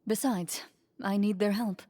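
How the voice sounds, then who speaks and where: A woman playing a composed, noble veteran monster hunter in a fantasy game, speaking in a calm, measured, firm way. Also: mid-range voice, close to an everyday voice. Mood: composed, reassuring. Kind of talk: scripted fantasy game dialogue. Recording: music playing under the voice.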